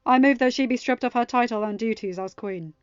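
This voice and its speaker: A woman voicing a resolute male royal in a storybook reading, measured, formal and firm. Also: on the high side, clean tone, dry recording, muffled recording, close to an everyday voice.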